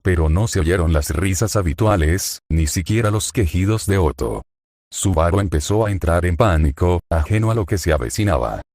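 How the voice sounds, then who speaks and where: A man narrating a fan story in a steady, even read-aloud manner. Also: low voice, moderate pace, no room sound. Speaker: fanfic narrator.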